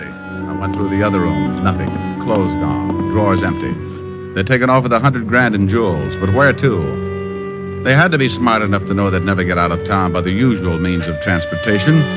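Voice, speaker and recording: Man acting as low-voiced wry radio private eye. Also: lightly acted. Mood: hard-boiled narration. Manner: dry, wisecracking, clipped. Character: wry, unflappable male private detective. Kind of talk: 1940s-50s radio detective acting. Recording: dull vintage radio recording.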